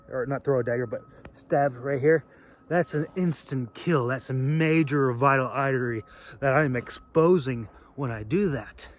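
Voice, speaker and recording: A man hosting a casual, off-the-cuff demonstration video, talking in a halting, rambling way with phrases that trail off. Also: mid-range voice. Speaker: video host demonstrating sword carry.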